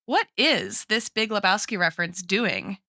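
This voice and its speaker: Woman podcast host, bright crisp forward voice, dry close sound w music behind, playful mock-formal interviewing. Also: medium pitch, animated, unhurried, no echo.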